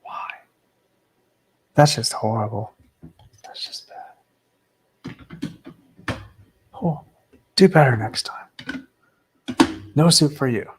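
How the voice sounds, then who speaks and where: A man's voice: slow, long pauses, noisy background. A young man casually commenting as he unboxes graded trading cards, understated and matter-of-fact. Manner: low-key and understated, self-described as not excitable.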